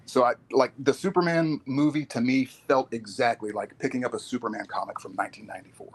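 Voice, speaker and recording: A man's voice: mid-range voice, steady tone, quick pace, a little hiss or hum. A man speaking as a podcast guest, giving opinions in casual geek chat.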